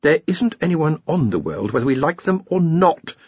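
A man's voice: high-pitched, wildly swooping pitch, normal speed, husky, no echo, highs cut off, pitched above the performer's own voice, heavily acted. A man voicing an earnest, apologetic young male wizard in an audiobook, explaining things with hesitant deference. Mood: weary, patient. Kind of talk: audiobook character voice.

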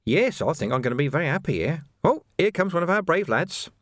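A man's voice: a little high, sing-song, fairly quick, dry recording, clean studio sound, pitched above the performer's own voice. A man voicing a sly, cheerful male criminal who mocks and wheedles, laughing often. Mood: wheedling.

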